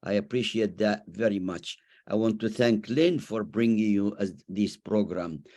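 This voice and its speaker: A man in his nineties from Iraq, speaking haltingly as he comments and asks questions in an online discussion. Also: lowish voice, dark tone. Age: over 90. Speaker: audience member, memoir author. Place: remote online video call.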